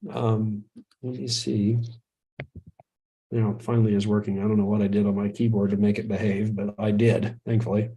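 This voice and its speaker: Mellow-voiced chatty American man. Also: fairly low pitch, level tone, moderate pace, rough recording. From United States. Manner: chatty, self-deprecating, chuckles often. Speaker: webinar host. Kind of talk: casual live webinar talk.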